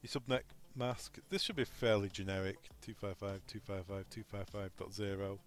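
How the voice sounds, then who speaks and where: A man's voice: on the low side, fairly even intonation, normal speed, soft background music. A man presenting an unscripted technical tutorial, informally talking through each step aloud as he goes. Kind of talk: unscripted step-by-step software walkthrough.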